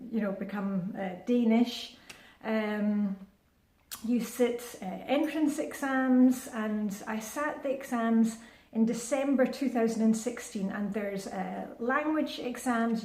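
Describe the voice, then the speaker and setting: A woman's voice: mid-range voice, a little mellow, roomy sound. A woman in her fifties chatting casually to her vlog viewers, upbeat and full of fillers and restarts. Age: 50s. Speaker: vlogger. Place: indoors at home, with a short outdoor clip in the rain.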